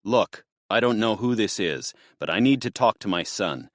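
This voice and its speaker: A man's voice: lowish voice, lively intonation, normal speed, no room sound, clean studio sound, close to an everyday voice. A man voicing a furious ex-Marine father holding back his rage, clipped and tense. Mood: restrained.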